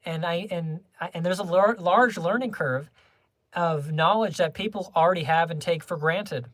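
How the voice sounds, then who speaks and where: A young adult livestreamer chatting casually with viewers, rambling through many restarts and small laughs in a low-key, homebody way. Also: normal speed, fairly bright tone, no echo.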